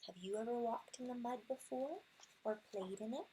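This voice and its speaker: A woman's voice: a little high, normal speed, mellow, a little hiss or hum, rough recording. A woman reading a picture book aloud to young children, acting out sound words expressively and chatting to her listeners. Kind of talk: animated read-aloud with asides to young listeners.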